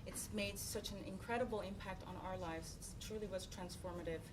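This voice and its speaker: A woman's voice: mid-range voice, steady tone, a little fast. A woman from the Netherlands speaks to a gathered audience in an upbeat, grateful and playful way. Her voice comes from a distance in the room, with noticeable noise in the background. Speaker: founder and speaker. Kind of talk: speech to an audience. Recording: distant mic in a noisy room.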